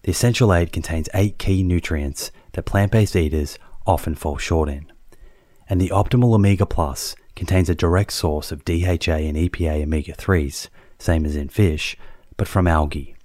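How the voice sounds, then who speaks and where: A man reading a scripted ad in a clear, measured, promotional way. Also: sits low, a little mellow, dry recording. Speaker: ad reader. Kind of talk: scripted ad read.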